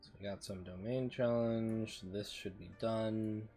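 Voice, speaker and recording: A man's voice: lowish voice, steady tone, a little slow, warm tone, soft background music. A man streaming a video game with informal commentary, thinking aloud as he plays. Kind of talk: casual gameplay commentary.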